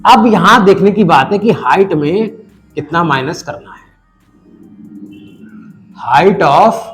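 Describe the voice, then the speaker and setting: A man with a hoarse voice from a cold, patiently explaining a math lesson step by step and talking straight to the viewer. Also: high-pitched, expressive, a bit bright, faint music behind. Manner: patient, addresses the viewer directly, repeats numbers slowly. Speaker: math teacher.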